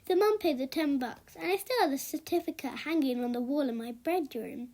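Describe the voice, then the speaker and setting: A woman reading a children's book aloud as an expressive storyteller. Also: high-pitched, fairly quick.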